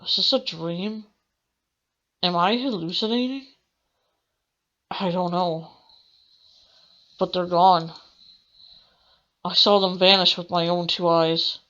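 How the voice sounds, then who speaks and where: A woman's voice: lowish voice, fairly even intonation, slow, long pauses, a bit bright, muffled recording. A woman hosting a gaming let's play, reading aloud in a dramatic manner with the odd stumble. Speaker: gaming channel host.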